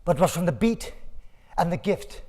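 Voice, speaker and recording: Male live storyteller and host, highish voice, highly expressive melody. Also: moderate pace. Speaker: storyteller and performer in a troupe. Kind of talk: live storytelling and audience announcements. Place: community hall performance.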